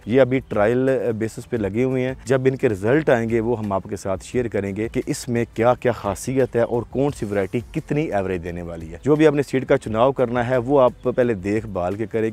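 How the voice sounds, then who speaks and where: A man's voice: mid-range voice, a little mellow. A man, explaining things as a farm video presenter, speaking outdoors into a lapel mic. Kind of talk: explanatory farming presentation to camera. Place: outdoors in a farm field among palm trees.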